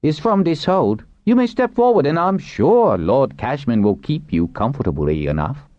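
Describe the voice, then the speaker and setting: Storybook spokesman male reader: formal, dry, confident, very varied pitch, slightly dull recording. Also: big pitch swings, darkish tone, close to an everyday voice. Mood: dry authority. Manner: quick, dry formal authority. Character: brisk, confident male official addressing captives. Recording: roomy, dull muffled recording.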